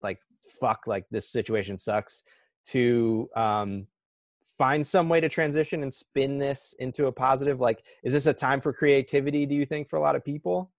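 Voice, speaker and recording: A man's voice: mid-range voice, steady tone, no room sound. Male podcast host, hesitant interviewer, dry close-miked voice. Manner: halting, searching for words. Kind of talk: interview questions.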